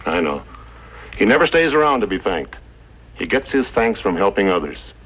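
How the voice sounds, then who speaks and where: Low-voiced commanding cavalry major. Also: male voice, very animated, fairly bright tone, husky, full character voice. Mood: composed. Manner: commanding, deliberate. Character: authoritative, composed US cavalry major. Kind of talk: 1950s radio western acting. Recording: muffled, hissy old radio recording.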